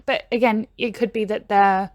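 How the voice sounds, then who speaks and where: A woman's voice: mid-range voice, steady tone, moderate pace, no echo, dull audio. British woman chatting over a clip, laughs a lot, dry close sound. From Britain. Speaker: reaction video host. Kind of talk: casual commentary.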